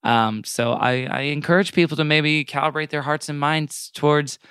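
A man's voice: fairly high pitch, steady tone, normal speed, fairly bright tone, clear, resonant tone, dry recording, clean studio sound. A man hosting a podcast in casual comedic chat, with a jokey, mock-earnest way of talking.

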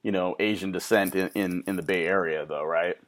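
A young man with an American accent and a fairly low voice speaks as a host. He chats and interviews casually, in loose conversational phrases. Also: steady tone, fairly quick.

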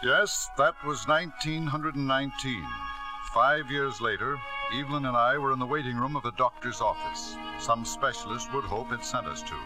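A devoted, driven father played by a male actor in a vintage radio drama. He speaks with emphasis and repeats himself, faltering when anxious. Music is clearly present on the old broadcast. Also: mid-range voice, normal speed, close to an everyday voice, low-quality recording. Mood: grave. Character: devoted, driven father of a sick son, narrating his past. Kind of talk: 1950s radio drama acting.

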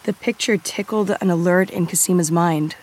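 A woman narrates scripted fiction in a low voice. She reads as an audiobook storyteller, setting scenes and describing characters. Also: moderate pace, darkish tone, husky, low-quality recording.

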